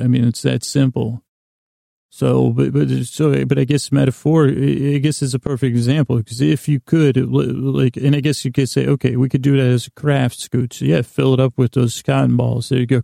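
A man hosting a podcast, rambling through a bedtime story monologue in a gravelly voice, halting and stumbling over his words as he meanders. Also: medium pitch, mellow, no room sound, clean studio sound. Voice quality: gravelly, creaky voice. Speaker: podcast host.

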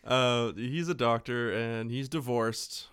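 A man's voice: medium pitch, slow pace, a bit bright, clean tone, no echo, clean studio sound. A man co-hosting a podcast, giving a casual film recap in an irreverent, mocking way. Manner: irreverent, mocking, mimics characters' lines. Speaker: podcast co-host. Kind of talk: casual film recap chat with acted-out dialogue.

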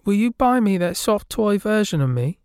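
A man voicing a sharp-tongued, defensive ghost girl in a high voice. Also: very animated, moderate pace, smooth, no echo, studio quality. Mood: wheedling. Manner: high, pleading then snapping.

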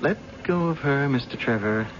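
Radio drama: grim, vengeful gunman male actor, mid-pitched, lo-fi sound, music behind. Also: animated, moderate pace, noisy background, close to an everyday voice. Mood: coldly menacing. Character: grim vengeful man holding a gunman's standoff.

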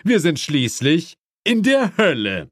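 A man's voice: a little high, huge pitch swings, takes it slowly, breathy edge, no room sound, clean studio sound, pitched above the performer's own voice, heavily acted. A man voicing a stern, sly male demon lord of hell in a theatrical, emphatic audiobook reading.

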